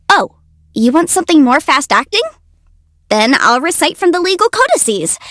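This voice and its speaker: A woman's voice: sits high, dry recording, studio quality. A woman voicing a cute, confident young female legal adviser in a video game, youthful and articulate with a theatrical, self-assured delivery. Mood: teasing. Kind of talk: video game character voice lines.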